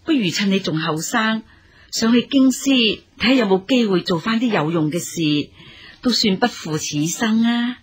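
Very husky, humble old mother. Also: medium pitch. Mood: earnest, gentle. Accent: Hong Kong Cantonese. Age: older. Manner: deferential, measured, expressive. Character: humble, courteous elderly mother of a young scholar. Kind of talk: Cantonese radio drama acting.

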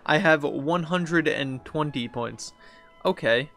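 Guy in his 30s doing casual game commentary, bright voice w some fry, bit of music behind. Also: a little high, takes it slowly. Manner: informal, thinking aloud. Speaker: video game streamer.

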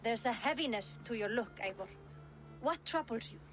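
A woman's voice: a little high, expressive, normal speed, fairly bright tone, faint music behind. An actress playing a loyal, perceptive Viking clanswoman in a video game, formal and considerate in her speech. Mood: concerned. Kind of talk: video game dramatic acting.

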